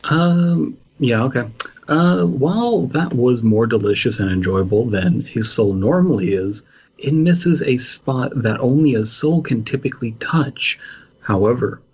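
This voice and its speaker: A man's voice: medium pitch, a little slow, darkish tone, husky. A man co-hosting a podcast, reading aloud casually with wry commentary, on a slightly dull microphone. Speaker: podcast co-host.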